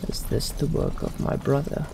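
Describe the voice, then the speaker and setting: A man doing in-character roleplay commentary for a let's play, speaking in a measured way as the leader of a fantasy party. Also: background noise. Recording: game music behind the voice. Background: fantasy video game music.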